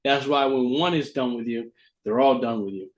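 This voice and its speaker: A man co-hosting a podcast, calmly explaining and checking that the others follow. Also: mid-range voice, moderate pace, lo-fi sound. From Brazilian and Puerto Rican descent. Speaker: podcast co-host. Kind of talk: casual explaining.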